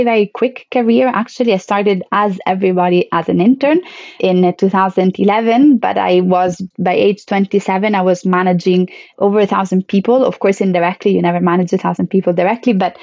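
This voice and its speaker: Italian woman in her 30s chatting on a podcast, lots of pauses, dry sound. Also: medium pitch, darkish tone, no room sound, muffled recording. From Italy. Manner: thoughtful, with frequent pauses and fillers. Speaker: guest. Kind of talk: conversational interview.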